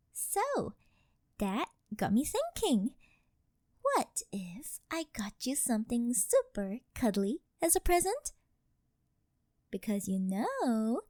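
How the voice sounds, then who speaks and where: A woman's voice: high voice, darkish tone, dry recording, clean studio sound, full character voice. A woman playing a rich, possessive, doting older girlfriend in an ASMR roleplay, coy, cutesy and teasing as she sweet-talks.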